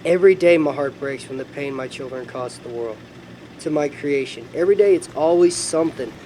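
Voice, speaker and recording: A man's voice: mid-range voice, fairly quick, warm tone, a little hiss or hum, lightly acted, low-quality recording. A man acting as God, a heartbroken, loving father with a sorrowful, reflective manner in film dialogue. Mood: weary, probing.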